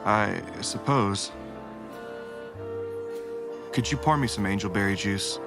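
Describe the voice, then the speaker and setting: An actor in an audio drama playing a stiff, principled lieutenant who speaks in formal, precise, courteous phrases. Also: male voice, fairly low pitch, music in the background. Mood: reserved, polite. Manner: formal, precise, measured wording.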